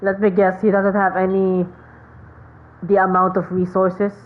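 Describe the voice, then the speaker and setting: A woman's voice: medium pitch, completely flat delivery, measured pace. A woman giving casual gameplay commentary as a streamer, murmuring short reactions. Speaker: game streamer. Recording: phone-like thin mic, faint noise.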